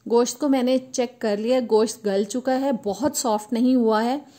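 A woman, host of a cooking channel, calmly explaining a recipe step by step in voice-over. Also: fairly high pitch, normal speed. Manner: steady step-by-step instructional tone.

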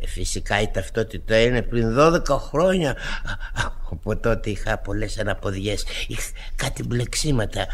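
An actor playing a wheedling, boozy vagabond painter in a Greek radio play, chatty and theatrical. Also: male voice, on the low side. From Greece. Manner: chatty, theatrical, self-pitying and cajoling.

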